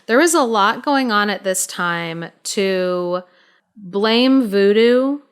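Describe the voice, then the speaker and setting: A woman co-hosting a podcast, telling a story casually in an informal, halting, explanatory way. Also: medium pitch, animated, clear, resonant tone.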